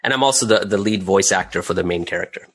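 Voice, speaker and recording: A man with a fairly low voice talks as a guest in a relaxed interview. He explains his work conversationally, one step at a time, often checking that the listener follows. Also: fairly quick, breathy edge. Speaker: interview guest, audio drama creator and voice actor.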